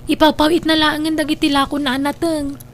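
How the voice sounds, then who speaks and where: An actress as an outspoken, loyal young woman in an Ilocano drama. Her voice sits very high and carries a Filipino accent. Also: flat delivery, a little fast, slight background noise, close to an everyday voice. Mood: gentle. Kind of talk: Ilocano radio drama acting.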